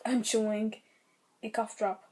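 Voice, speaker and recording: A young woman with a slightly raspy throat, giving earnest, rambling commentary on her own vlog channel. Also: mid-range voice, little pitch movement, measured pace, mellow. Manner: earnest, rambling, many fillers. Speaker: vlogger.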